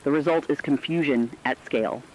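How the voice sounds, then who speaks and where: A man voicing a serious news correspondent in a dystopian radio broadcast, reading formal, measured scripted reports. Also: medium pitch, a little hiss or hum, natural voice, low-quality recording.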